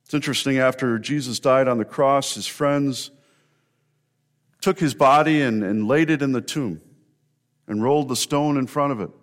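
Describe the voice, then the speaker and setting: A man's voice: medium pitch, normal speed. Earnest male pastor preaching. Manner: earnest, rising to emphatic repetition. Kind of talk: sermon.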